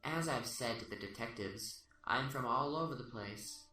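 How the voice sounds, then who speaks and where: A man's voice: medium pitch, normal speed, lightly acted. Man playing a smug killer, roomy and distant. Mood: smug, boastful. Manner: smug, taunting, speechifying. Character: smug, philosophizing serial killer in custody, taunting and remorseless. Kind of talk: amateur radio play acting. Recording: roomy, distant-mic sound under loud music.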